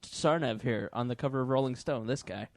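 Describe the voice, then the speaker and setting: A man's voice: medium pitch, brisk, a little mellow, dry recording. A man hosting a casual comedy podcast, talking in a teasing, joking way. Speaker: podcast host.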